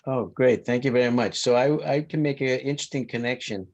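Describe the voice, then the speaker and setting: A man whose first language is Turkish, giving classroom feedback and lecturing in a relaxed way, thinking aloud with many fillers. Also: mid-range voice, fairly quick, no room sound. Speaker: instructor.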